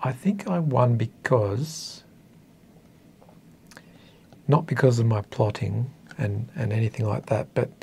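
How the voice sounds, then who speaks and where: A man's voice: mid-range voice, takes it slowly, vocal fry, long pauses, darkish tone, slightly rough. An Australian man in his fifties in a casual, reflective chat, speaking hesitantly and thoughtfully, with a self-deprecating way about him. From northern coastal New South Wales, Australia. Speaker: interview guest, author. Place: small indoor meeting room.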